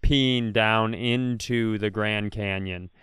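A man hosting a comedy podcast in casual chat, spinning hypothetical riffs as an animated storyteller who acts out imagined quotes and swears freely. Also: fairly low pitch, slow, smooth, dry recording, studio quality.

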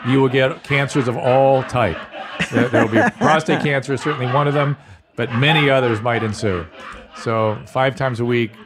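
A man in middle pitch hosts a casual podcast, talking frankly and joking as he goes. He often breaks into laughs between his points. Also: normal speed, crowd noise. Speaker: podcast host. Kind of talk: casual chat.

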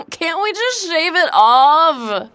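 Slow, blunt, feisty young adventurer. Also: female voice, very high-pitched, sing-song, pushed and bright, exaggerated voice. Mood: grumpy. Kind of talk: audio drama acting.

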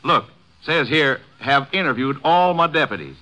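A man's voice: medium pitch, animated, normal speed, bright, forceful tone, rough recording. An actor in a 1950s radio drama playing an indignant, folksy working man who blusters in plain rustic grammar, on an old radio recording.

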